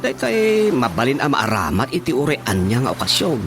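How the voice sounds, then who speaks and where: A man's voice: medium pitch, huge pitch swings, a little fast, creaky. An actor playing a chatty, deferential village man with a Filipino accent, hesitant and repetitive, in a comedy radio drama. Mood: agreeable. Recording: radio drama with music underneath.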